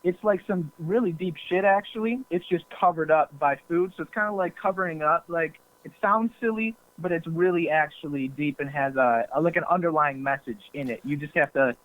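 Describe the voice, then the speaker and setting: A man's voice: fairly high pitch, a little fast, hardly any pauses. Young man on a tinny phone line. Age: young adult. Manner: laughing easily, hesitant, filler-heavy. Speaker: podcast guest. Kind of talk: casual podcast chat.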